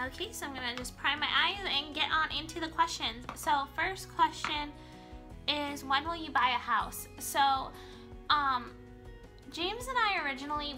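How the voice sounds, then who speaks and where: A young woman chatting casually while doing her makeup in a small bedroom, a bit nasal from a cold and rambling with lots of ums and likes. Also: sits high. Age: young adult. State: sick, slightly nasal. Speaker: beauty vlogger. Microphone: camera in front, close framing.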